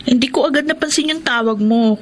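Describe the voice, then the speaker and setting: A woman's voice: high voice, a little fast, slight background noise, close to an everyday voice. An actress with a Filipino accent playing a sincere, hopeful young working woman in a Tagalog radio drama. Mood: earnest, apologetic. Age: young adult.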